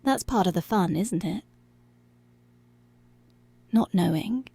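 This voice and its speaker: Policewoman, played by a woman: commanding, teasing, seductive ASMR roleplay. Whispery with creaky edges, deliberate and unhurried. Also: medium pitch, expressive, dark tone, no room sound, full character voice. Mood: teasing, controlling. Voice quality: breathy, whispery. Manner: slow, deliberate, controlling, drawn-out phrases.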